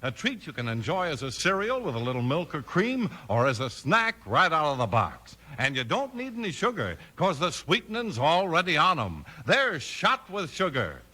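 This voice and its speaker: A man's voice: fairly high pitch, wildly swooping pitch, normal speed, few pauses, bright, forceful tone. A man announcing and narrating a 1950s radio show in an emphatic, dramatic scripted style. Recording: old 1950s radio broadcast with music. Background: music.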